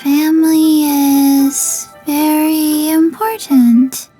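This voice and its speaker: Very slow, high-voiced little zombie girl. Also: faint music behind, rough recording. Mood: blank, earnest. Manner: flat, halting delivery. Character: small zombie girl, slow, blank and earnest. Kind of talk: video game character acting.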